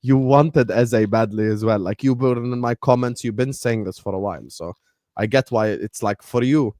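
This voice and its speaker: A man with a mid-pitched voice hosts a casual football chat, teasing his guests in quick banter and laughing often. The recording sounds dry and close-miked. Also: mellow, dry recording. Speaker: podcast host.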